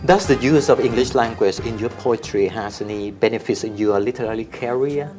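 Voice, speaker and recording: A man's voice: fairly low pitch, brisk, over music. A man hosting an interview, asking questions and often murmuring short affirmations. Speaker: interviewer host.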